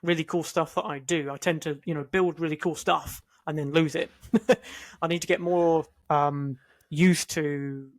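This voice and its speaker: A man's voice: fairly high pitch, steady tone, unhurried. A man co-hosting a podcast, chatting informally and agreeably with short interjections. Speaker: podcast co-host. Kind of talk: casual podcast chat.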